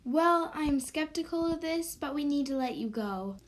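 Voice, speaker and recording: A woman's voice: high voice, animated, normal speed, pitched above the performer's own voice. A woman playing a stern, scolding judge in an amateur radio drama. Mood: sceptical, grudging. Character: stern judge in court, sceptical but grudgingly lenient.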